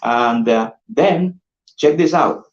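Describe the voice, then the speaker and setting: Man with a Colombian accent pitching eagerly. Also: takes it slowly. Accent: Colombian Spanish. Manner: energetic, persuasive, repeats "guys". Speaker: insurance sales manager presenting. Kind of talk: upbeat sales pitch on a call. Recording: dull roomy lo-fi audio.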